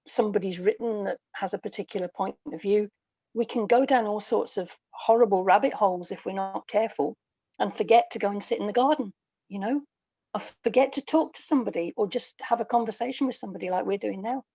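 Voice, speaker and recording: A woman's voice: mid-range voice, dark tone, no echo, dull audio. A middle-aged British woman speaking as a podcast guest over an internet call, chatting reflectively and often ending with tag questions. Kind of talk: casual conversational anecdote.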